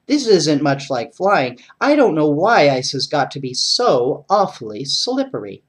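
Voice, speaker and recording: An adult doing an excitable, bold little boy, swinging pitch. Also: a little slow. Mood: grumbling. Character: boy ice skater, bold, excitable and grumbling.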